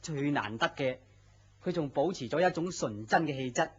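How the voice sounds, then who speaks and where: Smitten, mild office worker, muffled audio. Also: male voice, on the high side, lively intonation, moderate pace, husky. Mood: dreamy, defensive. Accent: Hong Kong Cantonese. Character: smitten, mild young male office employee. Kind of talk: radio drama acting. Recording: muffled lo-fi audio with faint noise.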